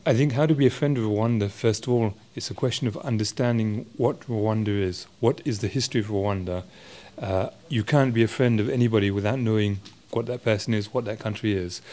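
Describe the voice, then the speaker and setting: The low-voiced man on a documentary interview, patiently and earnestly setting out what he believes. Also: mellow, a little hiss or hum. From parents from South Africa. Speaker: interviewee, supporter of Rwanda. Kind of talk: reflective interview talk in English.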